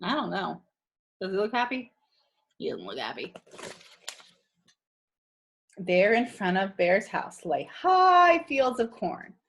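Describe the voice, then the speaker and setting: A woman reading a picture book aloud to children in a teacherly, expressive way, pausing to ask them questions. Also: medium pitch, slight background noise. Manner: animated, teacherly. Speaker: read-aloud storyteller for children.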